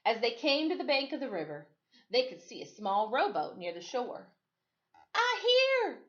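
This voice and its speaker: A woman reading a children's story aloud as expressive storytime narration. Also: a little high, moderate pace, lo-fi sound. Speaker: storytime reader.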